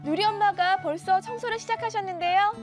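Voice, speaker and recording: Young woman, children's TV host, very high voice, sing-song storybook narration, studio with kids' music. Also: fairly quick. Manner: gentle, sing-song, exaggerated for kids. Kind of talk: scripted children's show hosting and storybook narration. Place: bright TV studio set.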